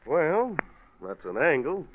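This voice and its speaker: Deliberate male detective lieutenant for vintage radio crime drama; courteous, probing, narrow muffled old recording. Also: a little high, wildly swooping pitch, noisy background, heavily acted. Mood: measured, skeptical. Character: measured, businesslike male police lieutenant. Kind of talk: 1940s radio mystery acting.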